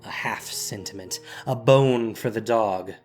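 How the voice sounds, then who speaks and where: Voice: mid-range voice, unhurried, a little mellow, soft background music. A narrator reading a scripted fantasy story in a dramatic storytelling style.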